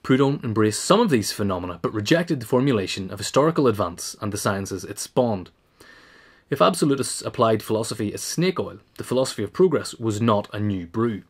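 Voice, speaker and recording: A man's voice: lowish voice, expressive, a little fast, husky. A man reading a scripted essay aloud as a narrator, in a formal manner. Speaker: audiobook reader.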